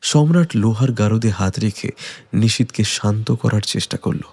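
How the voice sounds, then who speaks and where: A man's voice: fairly low pitch, moderate pace, vocal fry, a little mellow, slightly rough. A man giving dramatic scripted narration of a detective story.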